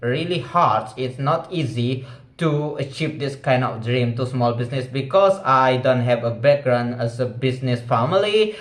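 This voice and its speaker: A man's voice: mid-range voice, expressive, moderate pace, echoey room. A young man with an Indonesian accent, a non-native English speaker talking casually and hesitantly, with many fillers and restarts as he practices speaking English. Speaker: vlogger.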